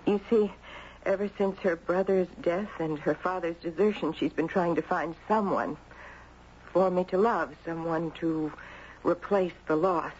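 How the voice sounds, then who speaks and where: A woman's voice: on the low side, darkish tone, natural voice. Actress as anguished grieving mother, muffled radio drama. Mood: sorrowful. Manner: halting, dramatic, confessional delivery. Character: guilt-ridden grieving mother, anguished and confessional. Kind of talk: 1970s radio drama acting. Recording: muffled, noisy 1970s radio recording.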